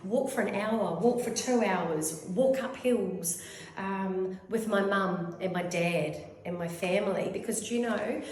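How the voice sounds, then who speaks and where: A woman's voice: mid-range voice, unhurried, a little mellow, a little hiss or hum. A New Zealand woman in her fifties giving a rambling, effusive testimonial as a clinic client. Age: 50s. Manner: rambling, effusive, goes off on tangents. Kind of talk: casual testimonial interview. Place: clinic room. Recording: very echoey room.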